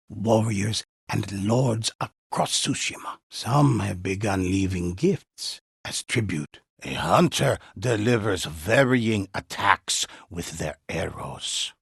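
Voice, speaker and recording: An actor playing a solemn old male storyteller in a video game, narrating in a raspy, measured, mysterious way with deliberate pauses. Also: on the low side, dry recording, studio quality, exaggerated voice. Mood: solemn, instructive. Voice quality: raspy, husky, gravelly. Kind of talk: scripted dramatic storytelling narration.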